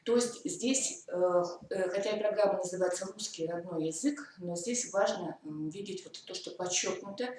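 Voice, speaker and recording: A woman's voice: lowish voice, moderate pace, hardly any pauses, a little mellow. A woman from the Komi Republic giving a methodical teacher-training lecture, walking through points step by step with hesitant fillers. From Komi Republic, Russia. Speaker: lecturer on school curriculum. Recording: echoey hall from far off, faint noise.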